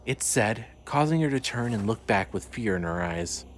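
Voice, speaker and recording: A man's voice: on the low side, lively intonation, normal speed. Male horror narrator over noisy ambience. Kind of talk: scripted horror story narration. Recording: clearly noisy ambient background.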